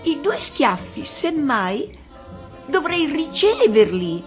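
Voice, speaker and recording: A woman's voice: high-pitched, very animated, slow pace, soft background music, voice pushed up, full character voice. An actress voicing a naive, polite young male traveller in a storybook, astonished by what he meets. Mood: shocked, protesting.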